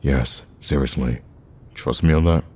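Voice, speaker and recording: Man acting a very deep, husky, lovestruck villain. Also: a little slow, mellow. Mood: anxious, apologetic. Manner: hesitant, stammering, intimate. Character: lovestruck male villain on a date, awkward yet cocky. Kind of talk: ASMR romance roleplay.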